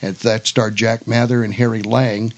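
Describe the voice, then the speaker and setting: A man hosting a talk show, mixing casual chat with read notes, halting and repeating words. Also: fairly low pitch, level tone, lo-fi sound. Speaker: radio show host.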